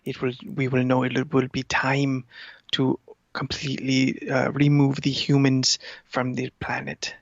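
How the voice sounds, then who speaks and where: A performer voicing a vain, scheming talking cat with a halting, pompous manner on a comedy podcast. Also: medium pitch, monotone, creaky, no echo, dull audio. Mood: coolly scheming. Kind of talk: improvised comedy podcast interview in character.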